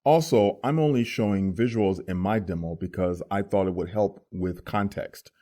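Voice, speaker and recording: A man's voice: low voice, moderate pace, dark tone. A man speaking as a voice actor giving a casual, scripted tutorial.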